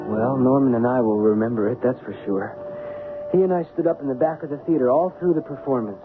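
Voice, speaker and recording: A man's voice: medium pitch, fast, natural voice. An actor playing an earnest Broadway producer's assistant, telling his story conversationally in an old-time radio drama. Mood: reflective storytelling. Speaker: actor and narrator. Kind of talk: old-time radio drama acting, first-person narration. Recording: narrow-band old radio with loud music.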